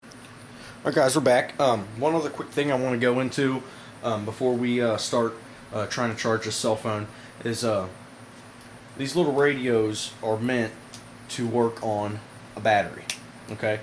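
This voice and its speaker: A man giving a casual hands-on product demonstration to viewers, informal and halting, with frequent pauses. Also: mid-range voice, fairly even intonation. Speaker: product reviewer, video host. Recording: noisy amateur camera audio.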